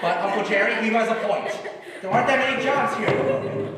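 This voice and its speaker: A man's voice: high voice, quick pace, a loud crowd, lightly acted. A man on stage playing a stubborn, wry young writer, the nephew in a one-act play, with theatrical delivery. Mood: indignant. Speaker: stage actor. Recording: echoey hall from far off, faint noise.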